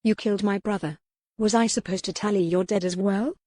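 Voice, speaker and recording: A woman voicing a proud, stubborn young medieval noblewoman with a measured, dogged defiance in an audiobook. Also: medium pitch, steady tone, a little mellow, dry recording, clean studio sound, natural voice.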